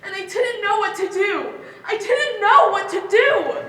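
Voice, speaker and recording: High bright female voice, an actress as a haunted young woman in a stage play, echoey hall. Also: expressive, unhurried, full character voice. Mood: distraught. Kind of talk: theatrical stage monologue.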